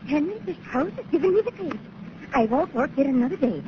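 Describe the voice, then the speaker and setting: A woman's voice: high voice, expressive, fairly quick. A woman playing a frightened, fretful and insistent housemaid in an old 1930s radio drama. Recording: muffled, noisy old radio recording.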